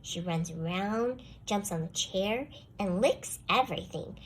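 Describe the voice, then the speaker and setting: A woman voicing a young schoolgirl telling a first-person storybook tale with expressive, put-upon delivery. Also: mid-range voice, measured pace, a little hiss or hum, lightly acted. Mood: indignant. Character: jealous young schoolgirl, grudgingly softening toward the dog. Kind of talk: scripted children's storybook read-aloud.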